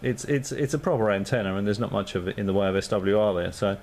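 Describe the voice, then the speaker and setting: A man's voice: on the low side, fast, darkish tone, a little hiss or hum. A man on amateur radio, chatting casually and thinking aloud with lots of fillers. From Australia.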